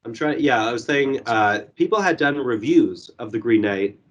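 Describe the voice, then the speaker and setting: A young man reviewing a movie in casual podcast chat. His voice is hoarse and going, and he rambles with lots of fillers and swearing. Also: mid-range voice, animated, moderate pace, lo-fi sound.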